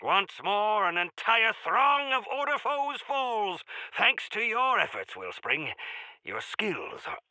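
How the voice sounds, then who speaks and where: A pompous yet genial game quest-giver, with a piercingly bright, forceful voice that swoops dramatically from phrase to phrase. He speaks in florid, courtly flourishes with dry asides, and the sound is close and dry. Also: sits high, sing-song, takes it slowly, dry recording, heavily acted, low-quality recording. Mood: grand, appreciative. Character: genial pompous male quest-giver of a mountain order, theatrically grateful. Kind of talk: theatrical video game character acting.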